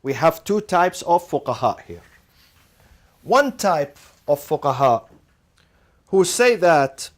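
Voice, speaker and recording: A man with a fairly high voice gives a classroom lecture. He keeps asking "what?" and then answers himself, repeating phrases to drive the point home and dropping in Arabic legal terms as he goes. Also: big pitch swings, takes it slowly, pauses often. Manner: asks rhetorical questions and answers them, repeats phrases for emphasis. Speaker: teacher.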